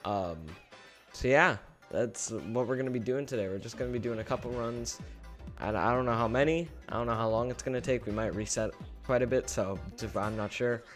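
A teenage boy talks into a close desk mic in a small bedroom, chatting to his stream in a loose, rambling way. His voice is a little gravelly today, and a faint hum of music plays behind him. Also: medium pitch, fairly quick. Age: teens. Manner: rambling, relaxed, trails off while fiddling with settings. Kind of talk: casual livestream chat. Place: small bedroom with gaming chair. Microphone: desk microphone close up.

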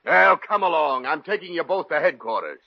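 An actor playing a gruff, bossy police inspector in the style of a 1940s radio comedy. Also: male voice, a little high, animated, brisk, background noise. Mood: commanding. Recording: muffled old radio broadcast.

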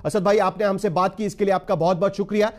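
A man's voice: sits high, steady tone, fast talker. A man with an Indian accent anchoring a broadcast news interview in a formal, wordy way, repeating phrases for emphasis.

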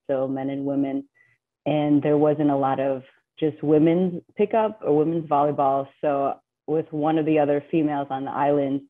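A woman in her thirties, from the Philippines and raised in the US, talking casually as a guest on a video call, with frequent laughs and fillers. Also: low-pitched. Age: 30s. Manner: chatty, laughs often, many fillers and restarts. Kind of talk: casual interview answers. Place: home office with bookshelves. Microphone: webcam on a video call. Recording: tinny, phone-like video call audio.

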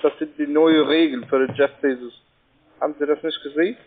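A rather high-voiced man calls over a thin, tinny phone line to sell something. He is a non-native German speaker, pitching haltingly and checking that he is followed. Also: fairly even intonation. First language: not German. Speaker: cold-calling telephone salesman.

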